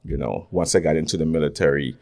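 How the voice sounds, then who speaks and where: A Guyanese man, a music artist, talking through his story in a relaxed, rambling interview. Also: rumbling low, quick pace, vocal fry. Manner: relaxed, rambling with many fillers. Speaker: guest, recording artist. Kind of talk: casual interview. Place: podcast studio.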